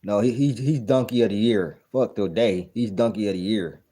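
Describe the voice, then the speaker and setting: A man's voice: lowish voice, normal speed, mellow, slightly rough. A man in his thirties giving blunt, profane, incredulous commentary while reacting to a clip, often repeating his points. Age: 30s. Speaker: reaction video host.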